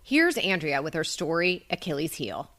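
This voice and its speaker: A woman's voice: low voice, big pitch swings, normal speed, fairly bright tone, no echo. A woman co-hosting a podcast, delivering a scripted introduction to the show. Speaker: podcast co-host and editor.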